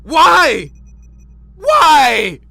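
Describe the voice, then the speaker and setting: Irascible doctor, forceful and bright. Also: female voice, high-pitched, huge pitch swings, creaky, no echo, full character voice. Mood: furious. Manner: loud, drawn-out, indignant outbursts. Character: irascible, exasperated female doctor. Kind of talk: comic radio play acting.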